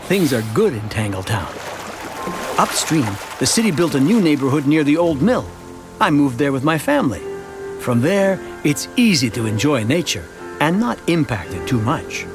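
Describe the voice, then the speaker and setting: A man narrating an animated short as a contented townsman, telling a scripted first-person story in a conversational storytelling manner. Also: fairly high pitch. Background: music.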